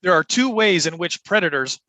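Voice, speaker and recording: Man, exam speaking coach, encouraging, bright tone, dry close sound. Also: high voice, expressive, dry recording. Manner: upbeat and encouraging, clear deliberate delivery. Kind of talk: livestream practice session, thinking aloud and modeling exam answers.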